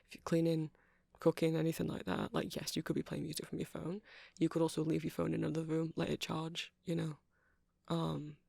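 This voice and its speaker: Man playing a creaky, soft-spoken nerdy vampire shopkeeper. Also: fairly high pitch, no echo, studio quality. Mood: nostalgic, rambling. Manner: hesitant, rambling, filler-heavy, soft-spoken. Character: nerdy, friendly vampire music shop keeper, rambling and hesitant. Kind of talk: ASMR roleplay, casual rambling monologue.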